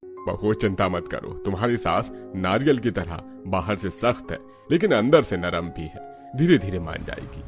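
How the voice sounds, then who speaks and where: A man playing a calm, conciliatory middle-aged father-in-law in a cartoon family drama, reassuring and placating. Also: deep voice, normal speed, faint music behind.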